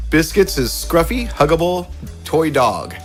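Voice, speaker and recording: A man's voice: a little high, big pitch swings, slow pace, faint music behind. A man acting as game host, casually introducing and reading out character descriptions, often chuckling as he goes. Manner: chuckles through his announcements.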